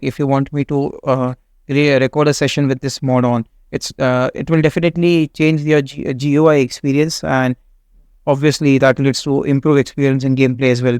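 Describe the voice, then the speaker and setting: A man, not a native English speaker, hosting a game walkthrough in a halting way with many fillers and restarts. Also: mid-range voice, a little mellow, smooth, no echo, studio quality. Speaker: gaming channel host. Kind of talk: casual game walkthrough commentary.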